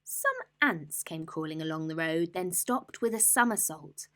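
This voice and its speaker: A woman reading a rhyming bedtime story with dramatic, deliberate, rhythmic expression. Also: low-pitched, animated, a bit bright.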